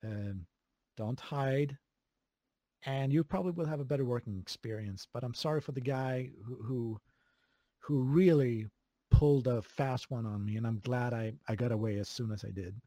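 A man from Sweden with a Swedish accent, chatting casually as an interview guest, relaxed, with many fillers and chuckles. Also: mid-range voice, normal speed, dry recording. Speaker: video game composer, guest.

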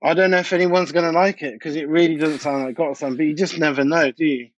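A man's voice: fairly high pitch, fairly even intonation, quick pace, dry recording, muffled recording. A British man, a DJ and producer, chatting informally and anecdotally as an interview guest. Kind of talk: casual interview chat.

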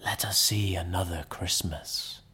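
Man voicing a hushed ghostly spirit guide. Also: deep voice, fairly even intonation, a little slow, husky, pitched below the performer's own voice, heavily acted. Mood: hushed, calm. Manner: soft, hushed, gentle.

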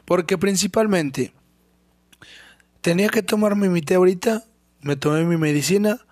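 Hoarse Mexican man, sick throat, cursing. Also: high voice, normal speed, a little mellow, dry recording, clean studio sound. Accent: Mexican Spanish. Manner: rambling and profane, clears his throat often. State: sore, hoarse throat from illness. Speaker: podcast host. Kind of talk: casual solo podcast monologue.

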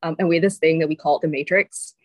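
A woman's voice: low voice, fairly even intonation, racing, no echo, clean studio sound. A woman in her thirties from Singapore, with an accent shifting between Singaporean and American English, chatting casually as an interview guest with lots of ums and likes. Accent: mix of Singaporean and American English. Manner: chatty, many fillers like um and like. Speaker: venture capital partner, guest.

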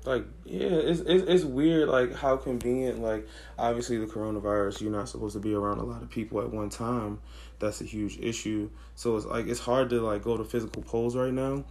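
Hoarse, gravelly young man podcasting. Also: medium pitch, fairly quick. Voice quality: raspy. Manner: relaxed, slangy, thinks aloud with fillers. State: hoarse, losing his voice. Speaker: podcast co-host. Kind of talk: casual chat.

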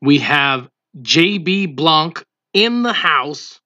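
A slightly dull, lo-fi recording of a man introducing his podcast. His voice is bright and forceful, on the higher side, and his delivery is casual. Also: animated, very slow. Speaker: podcast host introducing an episode.

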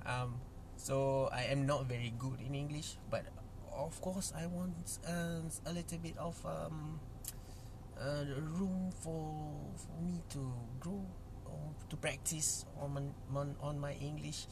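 A young Malaysian man, a native Malay speaker, talks casually in English on his own podcast. He speaks unhurriedly with a slightly raised pitch, stumbling through fillers and restarts. A faint hiss runs behind his voice. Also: steady tone. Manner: halting, many fillers and restarts, chuckles. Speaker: podcast host. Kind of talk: casual solo podcast intro.

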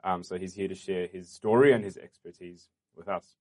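Earnest low-voiced male podcast host. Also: fairly even intonation, darkish tone. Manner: earnest, measured and welcoming, with fillers. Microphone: studio microphone.

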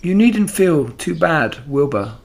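A man voicing a gentle, patient, clever female spider who teaches calmly in a children's storybook. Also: on the high side, slow pace, creaky, voice pushed up, exaggerated voice. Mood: reassuring, wry. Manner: calm, instructive, reassuring.

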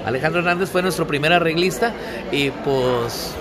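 A man's voice: fairly high pitch, normal speed, music in the background. A middle-aged man, a singer-songwriter being interviewed, telling stories casually with slang and frequent laughter. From Mexico.

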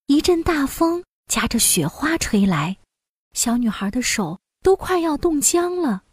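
A woman with a fairly high voice narrates a fairy tale for young children. Her storytelling is expressive and scripted, and the recording is close and dry. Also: unhurried, no echo.

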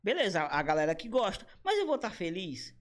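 A man in his thirties, a comedian and motion designer, chatting casually in a slangy, animated way with quoted asides. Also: sits high, brisk. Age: 30s. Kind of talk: casual podcast conversation.

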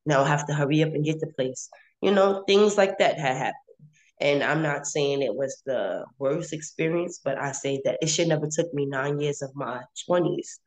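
A woman's voice: deep voice, moderate pace, poor audio. A woman in her late twenties telling her own story casually and candidly, repeating phrases for emphasis. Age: 20s.

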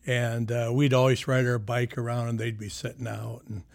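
A man's voice: mid-range voice, flat delivery, normal speed, vocal fry, dry recording. A retired sheriff in his sixties from Sioux City, chatting easily about old times with lots of fillers. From Sioux City, Iowa. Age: 60s. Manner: reminiscing, easygoing, trails off with fillers. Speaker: retired county sheriff, guest. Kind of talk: casual interview chat.

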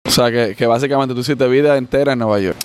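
A man's voice: mid-range voice, fast talker, clean tone. Teasing man hosting a podcast. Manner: teasing, joking interviewer. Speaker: podcast host. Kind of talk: casual podcast interview.

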